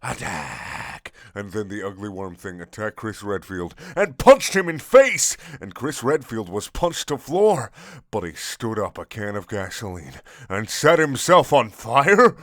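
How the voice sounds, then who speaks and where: A man's voice: on the low side, big pitch swings, unhurried, a bit bright, dry recording, studio quality. A man narrating a comic action story in stilted, broken English with dropped articles.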